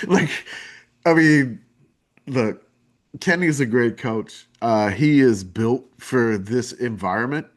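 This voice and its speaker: A man with a low-pitched voice and a New Orleans drawl talks in a loose, rambling way. He jumps between thoughts, restarts sentences and piles on fillers with plenty of enthusiasm. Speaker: podcast guest. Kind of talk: casual chat.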